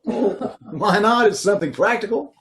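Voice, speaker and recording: A man's voice: sits high, lively intonation, unhurried, fairly bright tone. A man playing a breezy, freeloading brother-in-law in an old-time radio comedy, jovial and wheedling, over a video call. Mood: cheerful.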